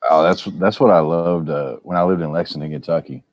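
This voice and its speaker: American dude, really low voice, casual stories, dry close sound. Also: male voice, fairly even intonation, a little fast, no echo. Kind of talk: casual chat, anecdotes.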